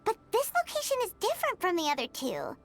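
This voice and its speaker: A woman voicing a tiny, earnest, chirpy childlike companion with a squeaky voice in a video game. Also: huge pitch swings, fast talker, vocal fry, soft background music, exaggerated voice. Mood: puzzled.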